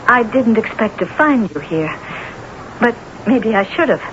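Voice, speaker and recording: An actress playing a remorseful wife who believes she is cursed, speaking earnestly and haltingly in a radio drama. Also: a little high, expressive, a bit bright. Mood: troubled, remorseful. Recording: dull, faintly noisy old radio recording.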